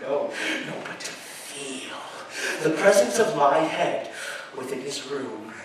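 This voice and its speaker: A man on stage playing a deranged, obsessive murderer confessing with chuckling glee, halting and repeating himself in a theatrical gothic horror reading. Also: fairly high pitch, big pitch swings. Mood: hushed, sly, obsessive. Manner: halting, repetitive, swinging from hushed to shouted. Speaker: stage performer. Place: live show at an amusement park. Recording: echoey venue, distant mic, faint noise.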